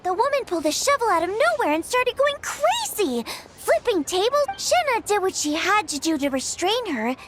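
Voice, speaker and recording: A woman playing an earnest, chatty teenage girl cook in a game, telling things in a lively way. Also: very high voice, normal speed, noisy background. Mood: recounting. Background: music.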